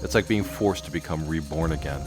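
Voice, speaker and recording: Centuries-old immortal, extremely low voice, brisk pace, philosophical, audio drama, music behind. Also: male voice. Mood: reflective. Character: centuries-old immortal man, worldly and reflective.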